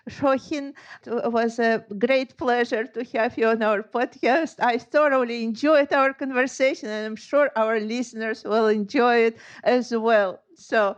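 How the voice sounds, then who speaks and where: A woman's voice: a little high, dull audio. A woman hosting a podcast, politely thanking her guest and wrapping up. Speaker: podcast host. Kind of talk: interview wrap-up.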